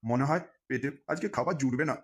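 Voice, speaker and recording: A man's voice: medium pitch, fast, slightly rough, natural voice. A man voicing a hard-up fish seller in a comedy cartoon who broods about money and snaps crudely. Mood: brooding. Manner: brooding, then crude and snappy. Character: hard-up male fish seller, gloomy and short-tempered. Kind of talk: Bengali comedy cartoon dialogue.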